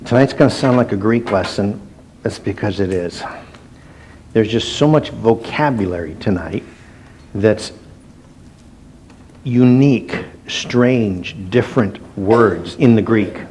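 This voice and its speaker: Relaxed man teaching a Bible study. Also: on the low side, slight background noise. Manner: relaxed and self-deprecating, with frequent fillers and restarts; slows down and stresses each word when reading scripture aloud.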